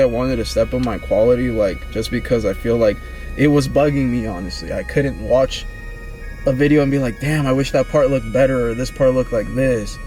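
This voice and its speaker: A man in his twenties, vlogging in a relaxed, rambling, slangy way, answering questions about himself. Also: mid-range voice. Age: 20s. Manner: laid-back, rambling, slangy. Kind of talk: casual get-to-know-me chat.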